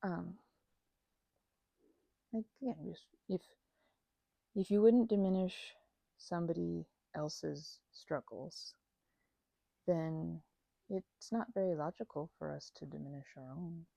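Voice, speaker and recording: A woman's voice: on the low side, slow, gravelly, pauses often, dark tone, dry recording. A woman in a casual ASMR roleplay, chatting informally as a best friend and often interrupting herself mid-thought. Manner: rambling, self-interrupting, informal and conversational.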